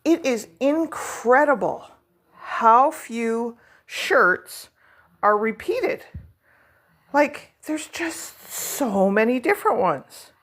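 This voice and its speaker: A woman's voice: on the high side, big pitch swings, drawn-out words, fairly bright tone. A middle-aged woman chatting casually at home as a vlog host, rambling and self-deprecating while she decorates.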